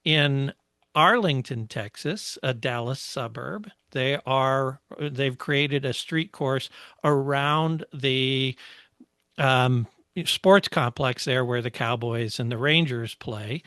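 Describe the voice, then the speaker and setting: A man co-hosting a podcast, chatting casually about motor racing, explaining history at a measured pace with many fillers. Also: medium pitch, pushed and bright, smooth, no echo, clean studio sound. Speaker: podcast co-host.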